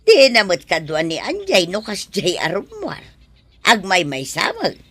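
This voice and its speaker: A woman's voice: mid-range voice, huge pitch swings, a little slow, a bit bright. An actress playing a gossipy, blunt neighbour woman in a radio drama. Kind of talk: radio drama acting in Ilocano.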